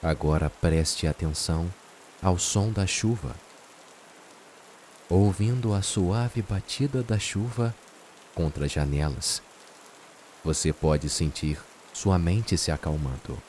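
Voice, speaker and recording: A man with a Brazilian accent narrates a guided meditation in a soothing, unhurried way, leaving long pauses. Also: sits low. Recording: steady rain and hiss behind voice. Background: rain sounds.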